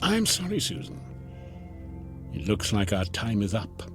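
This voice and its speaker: An actor playing a mocking, informal devil in a television drama, sardonic and glib in manner. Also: male voice, on the low side, very animated, unhurried. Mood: mock regret. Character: smug, mocking, informal male devil toying with his victim. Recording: music under the dialogue.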